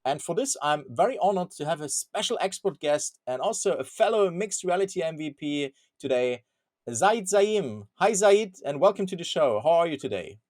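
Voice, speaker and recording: A man hosting a video interview show, opening in a friendly, brisk manner and asking the guest questions. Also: a little high, animated, a little mellow. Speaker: host. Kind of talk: upbeat show host interview.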